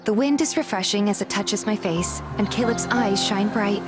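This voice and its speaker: A woman's voice: medium pitch, normal speed, close to an everyday voice. A woman playing a playful, bashful young heroine, reading scripted first-person romance narration and dialogue. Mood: wistful, tender. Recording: loud background music.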